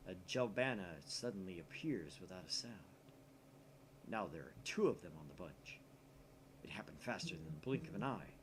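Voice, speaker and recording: A man's voice: low-pitched, lively intonation, normal speed, slight background noise, rough recording. A man reading first-person visual novel narration aloud as a storyteller. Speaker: gaming channel reader and narrator.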